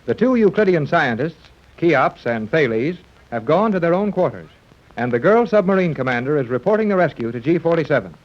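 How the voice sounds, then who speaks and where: A man's voice: a little high, sing-song, brisk. A man narrating a 1930s radio serial as announcer, reading a scripted recap. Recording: dull old 1930s radio recording.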